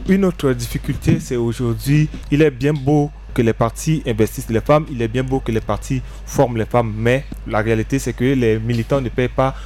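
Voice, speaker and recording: Man moderator, mid pitch, measured, long formal questions, faint noise behind. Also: a little mellow. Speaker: talk show moderator.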